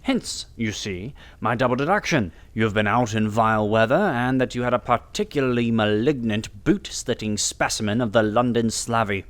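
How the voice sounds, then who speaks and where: A man's voice: medium pitch, normal speed, few pauses, lightly acted. A man playing a brilliant, self-assured Victorian detective in an audiobook, lecturing in a precise, theatrical way. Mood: brisk, explanatory. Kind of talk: audiobook character acting.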